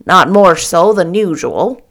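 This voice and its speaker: A woman's voice: fairly low pitch, expressive, slow, a bit bright, heavily acted. A woman reading an audiobook, voicing a stern, blunt older woman guardian.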